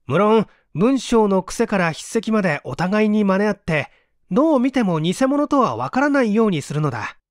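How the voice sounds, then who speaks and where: A man voicing an eager, scheming mystery writer with an animated, persuasive manner in a storybook reading. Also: high voice, normal speed, no room sound, studio quality, voice pushed up. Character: scheming male mystery writer, eager, pleased with his mischief.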